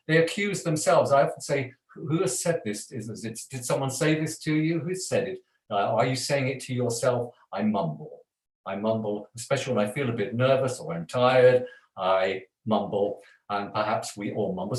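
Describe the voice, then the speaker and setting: A man's voice: mid-range voice, roomy sound, poor audio. A man teaching a live voice workshop as a voice coach, talking in a chatty, self-deprecating way and laughing often. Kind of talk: live online workshop talk.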